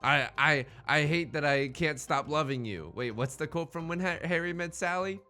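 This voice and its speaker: A man's voice: a little high, normal speed, sharp tone, soft background music. A man hosting a gaming series with casual, jokey commentary, often stammering and repeating words as he rambles. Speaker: host of a gaming Let's Play series. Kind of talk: casual game commentary with joking banter.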